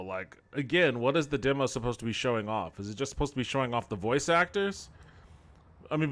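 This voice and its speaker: A man's voice: mid-range voice, a bit bright. A man streaming a game with casual commentary, rambling and bluntly critical as he goes. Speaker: game streamer and podcast host.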